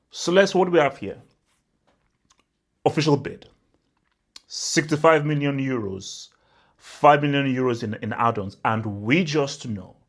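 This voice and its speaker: A young man from Nigeria who hosts a football channel, giving casual, emphatic commentary, often saying "bro" and stacking up exaggerations. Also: medium pitch. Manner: animated and emphatic, repeats "bro", spirals into exaggeration.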